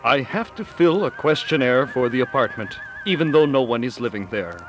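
A man playing a polite, hesitant census taker in a radio sketch, formal and halting. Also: medium pitch, lively intonation, music in the background, lightly acted. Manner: formal, halting, throat-clearing. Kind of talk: slow scripted radio sketch acting.

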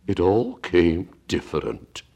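A man voicing a slow, gloomy male Mock Turtle creature in a storybook reading, drawing out his words. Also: sits low, big pitch swings, vocal fry, darkish tone, exaggerated voice. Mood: thoughtful. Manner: slow, drawling, easily offended.

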